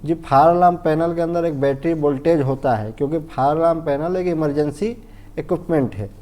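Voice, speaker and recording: An Indian man with a mellow, soft-edged voice pitched a little high teaches a technical lesson. He explains step by step in an informal, didactic way, repeats key phrases and keeps checking that the listener follows. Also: a little fast. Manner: didactic, repetitive, often checks understanding and asks himself questions. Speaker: fire safety instructor.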